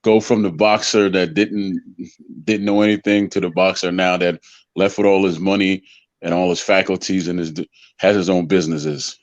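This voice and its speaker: A man's voice: deep voice, steady tone, moderate pace. A man hosting a boxing interview show, asking questions in a casual, friendly way and calling his guest brother. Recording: dull lo-fi audio.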